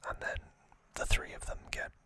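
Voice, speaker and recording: A man giving an ASMR recap in a breathy, unvoiced whisper, speaking softly in short, deliberate phrases. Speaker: ASMR channel host.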